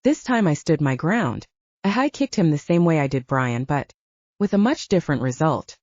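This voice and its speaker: A woman's voice: low-pitched, sing-song, moderate pace, darkish tone, no echo, highs cut off. A woman narrating a fiction audiobook in a dramatic first-person storytelling style.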